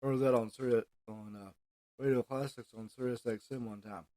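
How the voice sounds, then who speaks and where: An American man hosting an informal solo podcast, reading from notes in a halting way and stumbling over names. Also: mid-range voice, fairly even intonation, mellow, no echo, clean studio sound. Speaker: podcast host.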